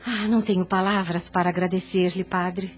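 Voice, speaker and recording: An actress playing an anxious, devout young widowed mother in a radio drama, earnest and formal, with a Brazilian accent and husky voice. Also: lowish voice, little pitch movement, moderate pace, faint music behind, close to an everyday voice. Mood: earnest, grateful.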